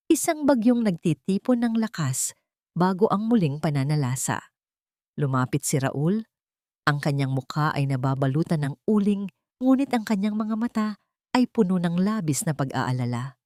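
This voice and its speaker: Lady narrator reading a dramatic love story, lowish voice, really dry recording. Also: dark tone, no echo.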